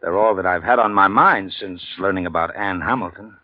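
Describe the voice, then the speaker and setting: A man's voice: low-pitched, fairly quick, lightly acted. An actor playing a persistent male police lieutenant, offhand and politely insistent, in 1940s radio drama style. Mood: probing, casual. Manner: offhand, hesitant probing, polite but insistent. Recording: dull 1940s radio recording.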